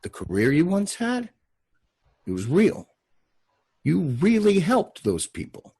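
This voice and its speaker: An earnest, fatherly figure in a television drama, read by a man. His husky voice rises and falls widely, halting and then pressing each point as he consoles. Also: on the high side, huge pitch swings, darkish tone, no echo, clean studio sound, voice pushed up, full character voice. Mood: firm, reassuring.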